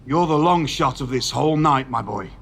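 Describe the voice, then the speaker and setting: An actor playing a stern older wrestling general manager, speaking in a measured, formal, lecturing way. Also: male voice, medium pitch, vocal fry, a bit bright, slightly rough. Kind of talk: video game voice acting.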